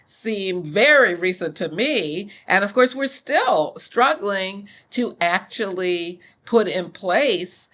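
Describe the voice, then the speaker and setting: A woman in her sixties, a scholar being interviewed, speaking in a measured, thoughtful way. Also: mid-range voice, animated. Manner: measured, thoughtful, occasional soft laughs. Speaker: guest scholar. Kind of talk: interview conversation.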